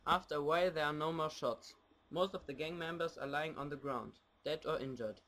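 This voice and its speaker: A man's voice: a little high, brisk, heavy background noise. A young man from Germany giving scripted narration for an amateur radio play.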